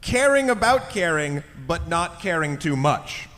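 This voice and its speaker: A man co-hosting a live podcast in a playful, excitable, stop-and-start way, recorded in a convention hall. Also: a little high, unhurried. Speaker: podcast co-host. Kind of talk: casual live podcast banter. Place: large indoor convention panel room with live audience. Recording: echoey convention hall, clear background noise.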